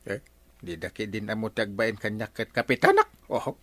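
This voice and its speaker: A man's voice: on the low side, animated, moderate pace, fairly bright tone, dry recording. An actor with an Ilocano accent playing a boastful, flustered male village captain in a comedy radio drama, stammering and blustering.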